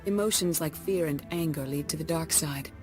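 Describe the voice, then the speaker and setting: An actress playing the serene female leader of the Jedi Council in a video game, with a measured manner. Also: deep voice, close to an everyday voice. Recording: game cutscene with music behind.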